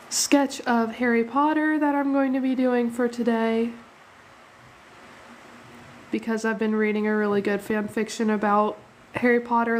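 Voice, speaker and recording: A woman's voice: fairly high pitch, a little hiss or hum. A young woman hosting a live art stream, chatting casually, thanking viewers and laughing easily, a little sniffly from a cold. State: slightly sniffly. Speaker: art livestream host. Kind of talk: casual live drawing chat.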